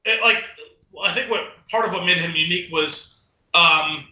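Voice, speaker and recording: A man with a high-pitched, very sharp and bright voice co-hosts a casual podcast. He offers opinions conversationally, and the sound around his voice is noticeably roomy and echoey. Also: moderate pace. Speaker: podcast co-host. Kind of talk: casual opinionated film discussion.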